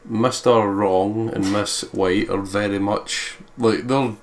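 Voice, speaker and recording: A man's voice: fairly low pitch, fairly even intonation, slow pace. A man chatting casually as a co-commentator on a game playthrough. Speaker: let's play co-commentator. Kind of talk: casual chat.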